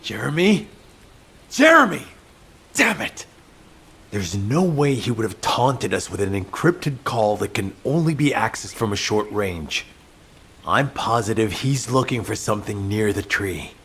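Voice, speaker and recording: An actor playing an earnest field commander in a video game, serious, brisk and commanding. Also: male voice, lowish voice, big pitch swings, creaky, a bit bright, slight background noise, exaggerated voice. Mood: alarmed. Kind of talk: dramatic video game cutscene acting.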